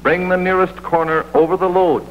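A man's voice: sits high, little pitch movement, moderate pace. An American man narrating a vintage military training film in a scripted, authoritative instructional manner. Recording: dull vintage film soundtrack with hum and hiss.

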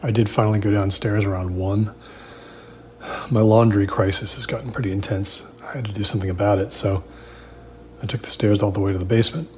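Male horror audio-drama narrator, slightly deep, conversational and confiding, uneasy, hesitant; faintly noisy recording. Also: steady tone, fairly quick. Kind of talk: first-person diary-style horror narration, performed conversationally. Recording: clear background noise.

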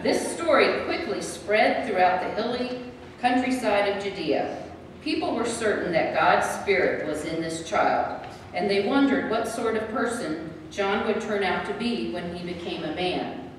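A woman's voice: medium pitch, lively intonation, unhurried. A woman reading scripted scripture narration as the narrator of a church drama. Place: large church sanctuary. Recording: echoey church from far off, faint noise.

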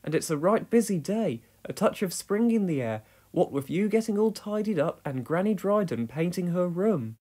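A woman's voice: lowish voice, animated, moderate pace, few pauses, mellow, breathy edge, voice pushed up. A woman voicing a cheerful, friendly village postman who chats in an easygoing, good-humoured way.

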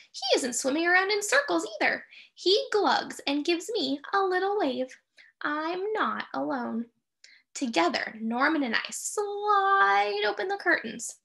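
A middle-aged woman reading a picture book aloud to young children, expressive and sing-song, pausing to put questions to her listeners. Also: high-pitched, gravelly. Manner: expressive, sing-song, with drawn-out key phrases and dramatic gasps.

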